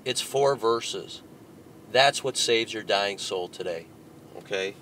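Emphatic preacher man with a bright voice. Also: takes it slowly, slight background noise. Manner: emphatic, scolding, shifts between loud bursts and low asides. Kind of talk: fiery sermon reading and rebutting a tract.

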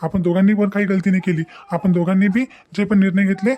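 Cartoon young husband, played by a man: high voice, even monotone delivery, earnest, reassuring and insistent. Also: fast talker, lightly acted. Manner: explanatory, insistent, repetitive. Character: devoted, reassuring young groom, firm and earnest. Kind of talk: cartoon family melodrama acting.

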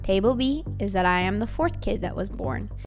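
A woman's voice: medium pitch, expressive, moderate pace, soft background music. A young woman, hosting a multiplayer game in a bossy, playful way and repeating herself. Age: young adult. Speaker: game host.

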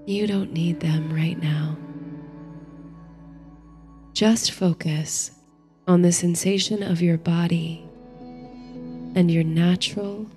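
A woman narrating a bedtime story and guided meditation in a soothing, hushed, gentle manner. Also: on the low side, fairly even intonation, takes it slowly, pauses often. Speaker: host and narrator of a sleep story series. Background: faint music.

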